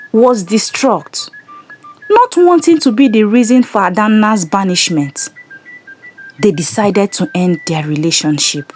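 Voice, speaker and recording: Slow, expressive woman telling a folktale. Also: medium pitch, a little hiss or hum. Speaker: storyteller. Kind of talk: scripted folktale narration.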